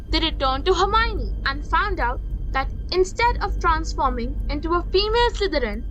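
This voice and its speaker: A woman narrates a scripted recap of a story. Her voice is notably high, and music plays clearly underneath her reading. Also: a bit bright, rough recording.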